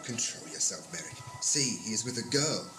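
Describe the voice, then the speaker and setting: A man's voice: lowish voice, lively intonation, moderate pace, bright, forceful tone. A man plays a stern alien villager in a 1980s audio drama. He speaks formally and with open distrust. There is faint music under the voice. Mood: suspicious. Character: Tylos, suspicious, stern male alien villager.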